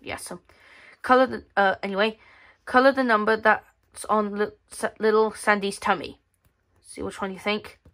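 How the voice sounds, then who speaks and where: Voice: mid-range voice, normal speed, pushed and bright. A female speaker giving casual commentary on a magazine page by page, reading bits aloud, with hesitant, rephrased sentences. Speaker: host of a magazine flip-through video. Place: indoors at a tabletop.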